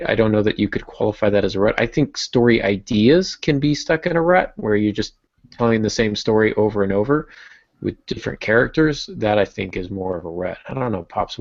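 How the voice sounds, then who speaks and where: Man, podcast host, gravelly low voice, informal and self-deprecating, dry muffled lo-fi sound. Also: no room sound. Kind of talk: casual podcast chat.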